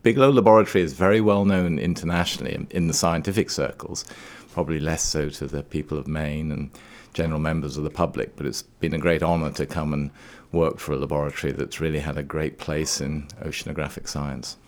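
A middle-aged man from Trinidad who later lived in Scotland, explaining things as an interview guest in a measured, articulate way. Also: a bass voice, steady tone. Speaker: research laboratory director, interview guest.

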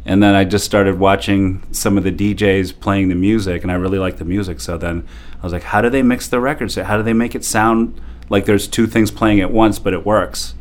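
A man in his forties from Japan, a DJ guest telling stories in an animated, laughing way with lots of fillers. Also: low-pitched. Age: 40s. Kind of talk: casual interview storytelling.

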